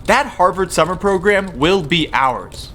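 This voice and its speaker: Bright, forceful, scheming teen boy leader acted by a man. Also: high voice, animated, unhurried, a little hiss or hum, heavily acted. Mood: rallying. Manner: commanding, terse, urgent. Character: intense, scheming teenage boy leading a debate team. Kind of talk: amateur radio drama acting.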